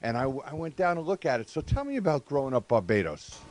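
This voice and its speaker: A man's voice: medium pitch, very animated, quick pace, a little mellow. A man hosting a horse racing interview show, introducing a guest and asking open questions conversationally. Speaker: show host.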